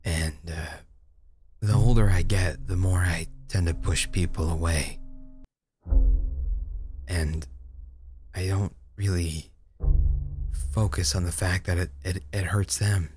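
A man playing a lonely, regretful father in an audio drama, halting and stammering as he talks. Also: low-pitched, fairly even intonation, music in the background. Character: lonely, self-doubting father, subdued and regretful. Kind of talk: amateur audio drama acting.

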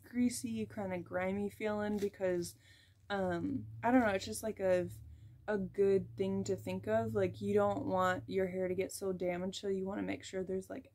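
A woman's voice: medium pitch, a little mellow. A young woman giving a casual, rambling hair dye tutorial in a small bedroom, filler words throughout. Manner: rambling, chatty, heavy use of "like" and "um". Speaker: beauty vlogger.

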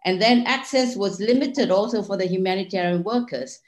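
A woman's voice: medium pitch, a little fast. A woman speaking emphatically as a panelist and former operations head on a video call. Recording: echoey room on video call.

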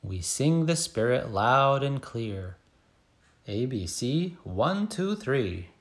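A man reading a picture book as an excited little schoolgirl, expressive, with emphatic sound-effect words. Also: mid-range voice, slow. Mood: playful, emphatic. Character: excited little schoolgirl telling her first day. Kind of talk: picture book read-aloud.

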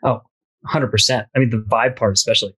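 A man's voice: medium pitch, fairly even intonation, quick pace, a bit bright, husky, poor audio. Thoughtful man in his thirties explaining. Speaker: guest ski instructor. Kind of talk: casual video-call interview. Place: small home room. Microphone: webcam on a video call.

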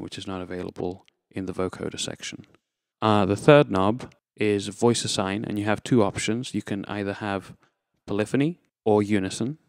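Low, smooth-voiced man giving a tutorial. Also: dry recording, clean studio sound. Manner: unscripted, step-by-step explaining. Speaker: presenter of synth tutorials. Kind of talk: informal instructional demonstration.

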